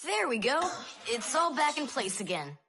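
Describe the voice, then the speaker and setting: A woman voicing an excitable, anxious young boy in an English cartoon dub, expressive and exclamatory. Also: high-pitched, normal speed, background noise. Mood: relieved.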